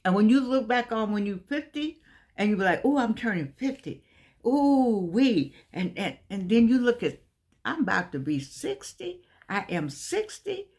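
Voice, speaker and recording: A woman's voice: on the high side, big pitch swings, normal speed, a little mellow. A woman in her eighties giving a casual motivational talk to her viewers, folksy and emphatic, often repeating herself. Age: 80s. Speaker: vlogger.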